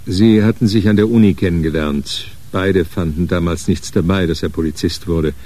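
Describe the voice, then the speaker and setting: A man narrating a radio crime drama in a sober, matter-of-fact, measured way. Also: deep voice, dark tone. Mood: wry, reflective. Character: sober narrator of a hard-boiled crime story.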